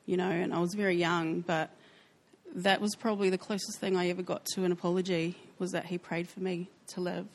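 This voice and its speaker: A woman with a New Zealand accent sharing her life story in a church interview, speaking softly and hesitantly with frequent pauses. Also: lowish voice, flat delivery. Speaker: church member sharing testimony. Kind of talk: personal testimony interview.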